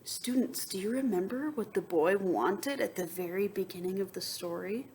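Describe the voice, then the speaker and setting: A woman teacher reading a picture book aloud to young students, expressive, moving between emphatic reading and soft, wondering asides. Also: mid-range voice, normal speed, a little hiss or hum. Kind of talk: children's read-aloud with asides and questions to students.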